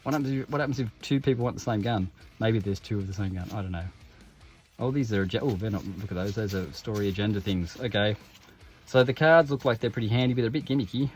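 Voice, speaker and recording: A man's voice: on the low side, quick pace, faint music behind. A man hosting an unboxing video with casual, informal commentary, full of jokey asides and self-interruptions. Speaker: host of tabletop game unboxing videos.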